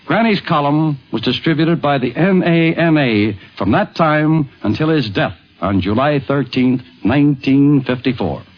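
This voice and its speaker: A man's voice: a little high, lively intonation, measured pace, few pauses. A man hosting and narrating a scripted 1950s radio documentary. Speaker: narrator and host of a radio tribute. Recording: dull vintage 1950s radio recording.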